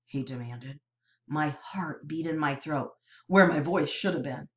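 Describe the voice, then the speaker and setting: Slow, expressive woman reading a novel aloud. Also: sits low. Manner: expressive, storytelling delivery. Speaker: narrator.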